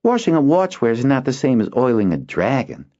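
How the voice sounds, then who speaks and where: A man voicing an excitable young boy, pitching his voice high and sounding breathless and wide-eyed. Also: a little fast, highs cut off. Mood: eager. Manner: breathless, wide-eyed, boastful about dragons. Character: eager excitable young boy on lookout duty.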